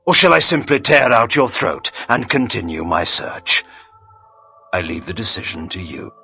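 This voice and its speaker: An actor voicing an arrogant, menacing vampire who speaks in a haughty, formal, commanding manner in a video game. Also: male voice, fairly low pitch, sing-song, normal speed, gravelly, bright, forceful tone, husky, soft background music, exaggerated voice. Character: arrogant, menacing male vampire, imperious and threatening. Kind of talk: theatrical video game voice acting.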